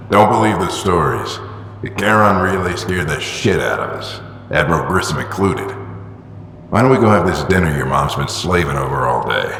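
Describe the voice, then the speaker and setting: A man voicing a gruff middle-aged father and veteran explorer who talks in a halting, awkward way. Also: low voice, expressive, a bit bright, a little hiss or hum, heavily acted. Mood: reflective. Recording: echoey reverberant room.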